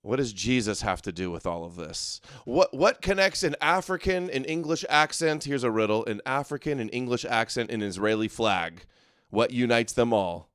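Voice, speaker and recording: A man hosts an online show with casual, sarcastic commentary on clips. His voice is medium in pitch and cleanly resonant. The recording is dry and close, with no room sound, and his delivery is jeering and offhand. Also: animated, moderate pace, a bit bright, clean tone, no echo. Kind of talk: mocking running commentary on clips.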